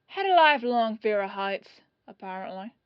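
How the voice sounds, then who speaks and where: A woman playing a dogged police detective in an audio roleplay, questioning in a folksy, falsely casual way with pauses. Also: medium pitch, big pitch swings, clear, resonant tone, no echo, dull audio, close to an everyday voice. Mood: casual suspicion. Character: dogged female police detective, casually probing, quietly suspicious.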